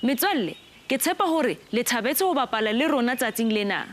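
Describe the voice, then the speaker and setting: Warm-toned woman presenter leading a kids' craft segment, animated and high-pitched, with music under her. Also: quick pace, background noise. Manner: gentle, encouraging, speaks to the child viewers. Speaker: children's TV presenter. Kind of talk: coaching kids through a craft activity.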